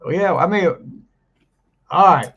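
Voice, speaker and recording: Man, casual podcast host, deep after flu, rambling, dull lo-fi audio. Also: takes it slowly, fairly bright tone, breathy edge. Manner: rambling, laughs often, trails off mid-sentence. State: recovering from flu, voice deeper than usual. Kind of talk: casual chat.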